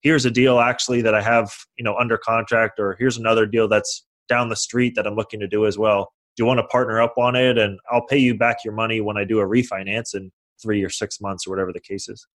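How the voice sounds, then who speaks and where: A man's voice: fairly low pitch, steady tone, fast, few pauses, a bit bright. A young man from California answering interview questions confidently, explaining how he got started and acting out the conversations he had. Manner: confident, explanatory, acts out quoted dialogue. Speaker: guest real estate investor.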